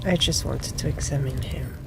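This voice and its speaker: A woman gamer giving in-character roleplay commentary on a video game, musing in a deliberate way. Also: rumbling low, creaky, noisy background.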